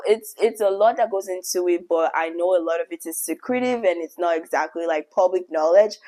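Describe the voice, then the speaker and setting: A young adult woman with a Nigerian accent, telling a childhood story in a chatty, animated way on her video channel, laughing often. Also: fairly low pitch. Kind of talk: casual story time vlog.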